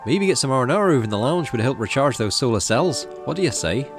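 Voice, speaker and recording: Guy doing a caring playful game dad, encouraging, really up and down intonation, soft music behind. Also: mid-range voice, lively intonation, fast, pitched above the performer's own voice, natural voice. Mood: concerned. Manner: affectionate, encouraging.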